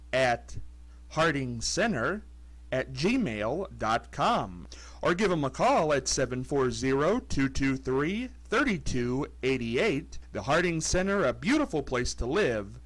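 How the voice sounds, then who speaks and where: A man reading a scripted local radio ad in an upbeat way. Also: slow pace. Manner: upbeat read with lively pitch. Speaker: ad announcer.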